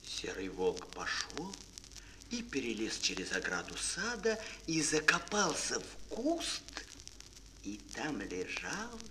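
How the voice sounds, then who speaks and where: Мужчина театрально и декламационно рассказывает детскую сказку как рассказчик аудиоспектакля. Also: male voice, medium pitch, huge pitch swings, slow pace. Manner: theatrical, declamatory. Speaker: narrator. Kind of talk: dramatic fairy-tale narration for children. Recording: old mono record, faint noise.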